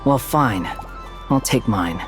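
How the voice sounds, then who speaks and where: A man's voice: mid-range voice, expressive, slow pace, faint music behind. A man voicing a prickly, aloof young man in an anime game, sarcastic and dismissive. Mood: grudging. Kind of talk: anime game cutscene acting.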